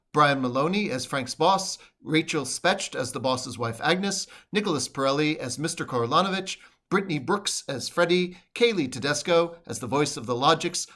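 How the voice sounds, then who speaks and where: Casting: male announcer, slightly high voice, formal scripted old-time radio sign-off. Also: a bit bright. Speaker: closing credits announcer.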